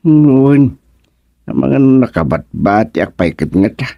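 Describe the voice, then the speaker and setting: A slow, grumbling Ilocano village husband. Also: male voice, fairly low pitch, sing-song, gravelly, mellow, no room sound, exaggerated voice. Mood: surprised. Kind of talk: Ilocano radio comedy drama acting.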